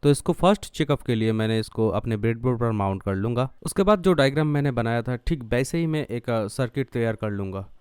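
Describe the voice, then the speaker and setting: A man's voice: medium pitch, expressive, a little fast, few pauses, darkish tone, smooth, no echo, clean studio sound. A man narrating a do-it-yourself tutorial step by step, mixing English terms and phrases into his speech. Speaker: electronics tutorial host.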